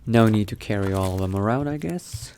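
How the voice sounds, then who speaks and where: A man playing a newly turned vampire in a game, thinking aloud in character in a musing, unhurried manner with trailing phrases. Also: fairly low pitch, lively intonation, warm tone. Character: newly turned vampire exploring new powers, musing adventurer. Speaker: roleplaying game player. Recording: noticeable background noise.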